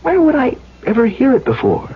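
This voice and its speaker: A man's voice: very high voice, huge pitch swings, breathy edge, exaggerated voice. An actor playing a troubled, earnest man who stammers and repeats himself in a 1970s radio drama. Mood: puzzled. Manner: halting, stammering, repeating words. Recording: dull, faintly hissy 1970s radio recording.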